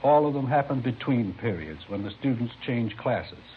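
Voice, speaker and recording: A man's voice: medium pitch, expressive, moderate pace, natural voice. Worried school principal, played by a man, vintage radio. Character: worried, formal male high school principal. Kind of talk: 1950s radio drama acting. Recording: muffled noisy old radio recording, crowd murmur.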